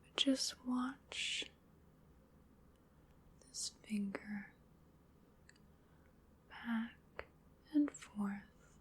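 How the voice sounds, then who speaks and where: A woman whispering in a breathy voice, giving soothing, unhurried ASMR hypnosis as part of a roleplay. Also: on the high side. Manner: soothing, unhurried, repetitive guided relaxation. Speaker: ASMR performer.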